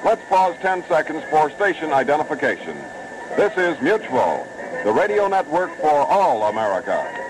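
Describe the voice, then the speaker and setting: A man announcing a station break and filling in commentary on live radio in a formal, measured way, on a noisy old broadcast recording. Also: a little high, sing-song.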